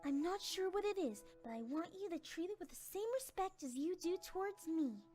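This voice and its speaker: A woman voicing a gentle, motherly pegasus pony mare in a storybook reading, timid, tender and fretful. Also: very high voice, lively intonation, moderate pace, soft background music, pitched above the performer's own voice. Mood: earnest resolve. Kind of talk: storybook character voice in fan fiction reading.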